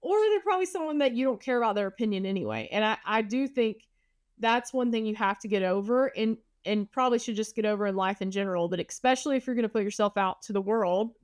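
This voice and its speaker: Host voice: Southern woman, mid pitch, chatty, conversational, dry close-miked sound. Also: fast, no echo. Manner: chatty, asks rhetorical questions. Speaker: podcast host. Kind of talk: casual interview talk.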